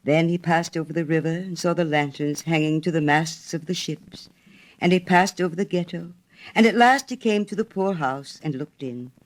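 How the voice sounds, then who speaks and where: A woman narrating a storybook fairy tale in an expressive reading style. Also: low-pitched, normal speed.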